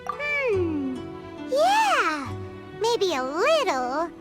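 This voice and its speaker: A woman voicing a tiny, chatty fairy companion in a video game, with a childlike squeak and a brash, exclamatory manner. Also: huge pitch swings, drawn-out words, heavily acted. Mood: curious, urgent. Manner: exclamatory, brash, speaks of herself in third person. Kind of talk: anime-style video game voice acting. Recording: music behind the voice.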